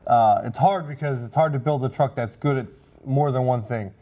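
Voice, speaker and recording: A man's voice: medium pitch, moderate pace, warm tone. A plain-spoken man, a fire officer explaining casually in an interview.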